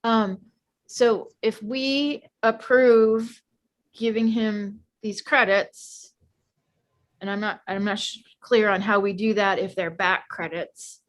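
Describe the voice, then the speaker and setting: A woman's voice: medium pitch, steady tone, slow. A woman on a school board asking questions hesitantly, with many fillers. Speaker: board member.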